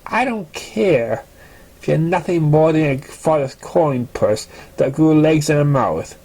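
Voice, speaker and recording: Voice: mid-range voice, expressive, unhurried, darkish tone. A narrator acting a brash, threatening young pony. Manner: threatening, insulting, cocky.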